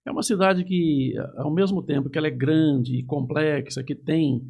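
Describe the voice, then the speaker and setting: A middle-aged man from Campinas, a TV news anchor reminiscing in a measured, casual interview. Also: a little high, steady tone, vocal fry, a little mellow. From Campinas, Brazil. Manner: reminiscing, measured, with frequent restarts.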